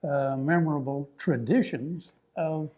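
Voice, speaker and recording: An older man co-hosting a show, reminiscing in casual chat with a halting, repetitive way of talking. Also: on the high side, mellow. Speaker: TV show co-host.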